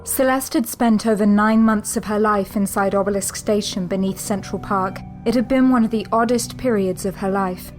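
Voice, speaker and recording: A woman's voice: medium pitch, music in the background. Woman narrating a spy story. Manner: measured, even storytelling. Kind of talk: scripted audiobook narration.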